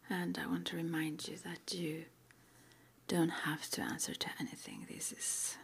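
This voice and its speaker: A woman doing an ASMR roleplay in a breathy, unvoiced whisper, with a gentle, unhurried manner. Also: deep voice, little pitch movement. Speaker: ASMR roleplay performer playing a witch school interviewer.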